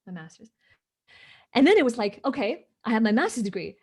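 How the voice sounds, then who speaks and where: A woman's voice: fairly high pitch, big pitch swings, brisk, breathy edge. A woman from Hong Kong, not a native English speaker, telling her story as an interview guest, emphatic and often asking "right?". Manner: emphatic, repeats "right?" for agreement.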